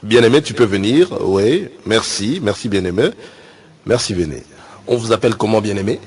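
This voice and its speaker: A man's voice: on the low side, normal speed, a little mellow, lightly acted. A man playing a pompous, easily exasperated visiting pastor in a comic sermon sketch, with an affected, hesitant delivery. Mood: self-important, hesitant. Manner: affected, hesitant, then indignant. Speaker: visiting preacher in a comedy sketch.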